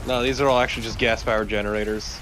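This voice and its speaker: A man's voice: lowish voice, steady tone, racing, noisy background. A man co-commentating on a video game with casual, joking banter. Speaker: let's play co-commentator. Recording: game music behind the voice. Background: video game music.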